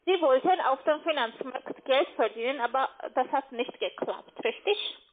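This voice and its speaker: Woman, high voice, non-native speaker, call centre questioning, tinny telephone sound. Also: moderate pace, slight background noise. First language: not German. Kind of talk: phone sales questioning. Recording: tinny phone line.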